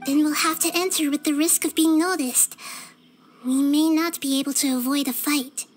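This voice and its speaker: Woman doing little kid goddess from a game, real high soft voice, gentle n earnest, kinda wise, light music behind. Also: fairly even intonation, moderate pace, natural voice. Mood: resolute. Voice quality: soft, childlike. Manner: thoughtful, earnest. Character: gentle, wise child goddess, small girl. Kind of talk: video game voice acting.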